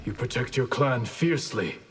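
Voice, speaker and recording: An actor playing a grave, dutiful ninja clan master in a video game, speaking formally in a husky voice. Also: male voice, medium pitch, lively intonation, normal speed. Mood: solemn. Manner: formal, solemn. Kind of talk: video game fight banter.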